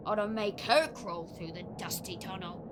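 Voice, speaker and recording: A woman's voice: medium pitch, fairly even intonation, moderate pace, noisy background, lightly acted. A woman voicing a grumpy, sulky young street girl who complains.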